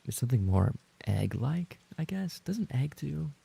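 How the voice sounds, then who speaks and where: Gravelly, husky man chatting on a livestream. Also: medium pitch, big pitch swings, a little slow, mellow. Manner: rambling, playful banter with viewers. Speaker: livestreamer and ASMR voice actor reading chat. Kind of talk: casual livestream chat.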